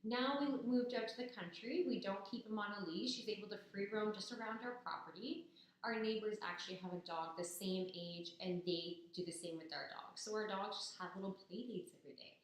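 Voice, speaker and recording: A young woman from Ontario, hoarse and raspy after a cold, giving a chatty, upbeat persuasive talk to the camera. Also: medium pitch, brisk. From Ontario, Canada. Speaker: business student. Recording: very echoey, roomy sound.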